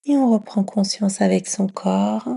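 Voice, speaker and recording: A woman leading a guided yoga nidra meditation, speaking softly and soothingly and often trailing into a breathy whisper. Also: mid-range voice, measured pace. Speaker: yoga nidra teacher guiding a session.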